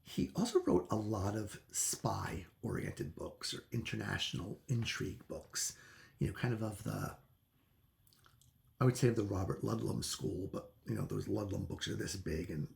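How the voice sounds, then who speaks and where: A man reviewing books in a casual, unscripted way, enthusiastic and opinionated, often pausing to search for words. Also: lowish voice, creaky. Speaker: book reviewer.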